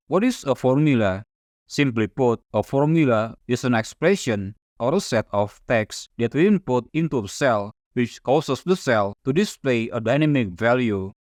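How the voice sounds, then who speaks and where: A man whose first language is Indonesian narrates a spreadsheet tutorial in a calm, methodical voice. His pitch sits in a typical middle range for a man. The recording is close and dry, with no room sound. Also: no room sound. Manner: calm, methodical step-by-step explaining. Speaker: software tutorial instructor.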